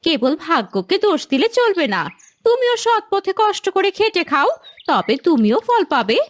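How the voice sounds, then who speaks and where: A woman voicing a principled, plain-spoken wife who admonishes her husband in an animated moral story. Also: very high-pitched, moderate pace, dry recording. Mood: admonishing.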